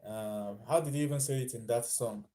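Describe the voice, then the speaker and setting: A man's voice: medium pitch, a little fast, warm tone. A Nigerian man giving casual vlog commentary, chatty and dropping into Pidgin as he talks. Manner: chatty, mixes in Pidgin, laughs at his own lines. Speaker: vlogger.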